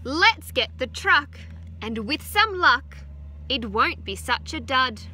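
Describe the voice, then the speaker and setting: An Australian woman in her thirties, a teacher gently reading a picture book aloud to children outdoors on a farm, adding chatty asides. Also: high voice, sing-song, slow, a little hiss or hum. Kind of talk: children's story time read-aloud. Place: outdoors on a farm beside a utility vehicle. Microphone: phone camera held close.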